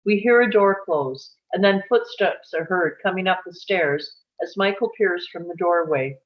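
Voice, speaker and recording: A woman's voice: lowish voice, normal speed. A woman reading stage directions as scripted narration for a live table read, on dull audio.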